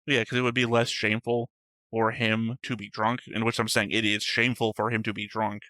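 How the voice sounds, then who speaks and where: A man's voice: on the low side, level tone, fairly quick, pushed and bright, no room sound. A man co-hosting an anime reaction show, rambling and arguing his points while searching for words. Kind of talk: casual discussion.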